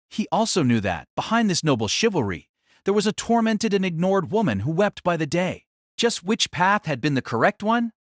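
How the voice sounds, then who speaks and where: Expressive male narrator, solemn and theatrical. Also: on the high side, dry recording, studio quality. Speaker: audiobook narrator. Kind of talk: dramatic scripted novel narration.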